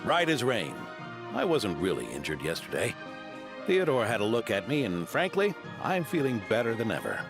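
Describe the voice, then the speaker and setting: An actor voicing an elderly, kindly knight in a video game, with a warm, measured delivery over game music. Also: male voice, medium pitch, huge pitch swings. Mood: calm. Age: older.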